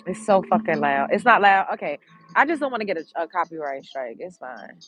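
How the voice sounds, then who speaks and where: A woman's voice: fairly low pitch, big pitch swings, a little fast, a bit bright, lo-fi sound, faint music behind. A congested woman from Brooklyn, chatting bluntly and profanely on a live stream, outdoors on a street, reading viewer comments. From Brooklyn, New York.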